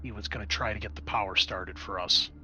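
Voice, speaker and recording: A man's voice: on the low side, fairly even intonation, a little fast, pushed and bright, a little hiss or hum. A man narrating a tabletop horror game as game master, improvising in a conversational, explanatory way.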